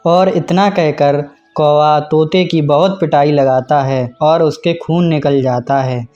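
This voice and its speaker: A man's voice: a little high, steady tone, slow, warm tone, highs cut off, soft background music. A man narrating a scripted children's cartoon story.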